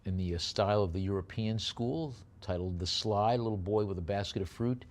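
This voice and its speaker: A man's voice: lowish voice, a little mellow. A man working as an auctioneer at a live sale, moving between repetitive bid chanting and descriptions of the lots. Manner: rapid repeated bid chant alternating with unhurried item descriptions.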